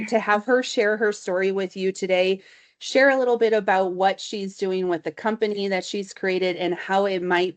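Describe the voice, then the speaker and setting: A woman hosting an interview show, enthusiastic and effusive as she welcomes and introduces her guest. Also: mid-range voice. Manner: enthusiastic and effusive, with brief backchannels. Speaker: host.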